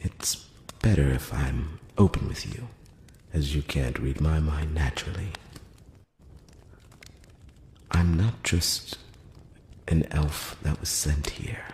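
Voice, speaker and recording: A man playing a courteous, curious elf prince in an intimate ASMR fantasy roleplay, speaking softly and formally with some hesitation, close to the mic. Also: very deep voice, unhurried. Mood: sheepish, confiding. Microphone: close-up microphone. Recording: roomy long reverb, background hiss.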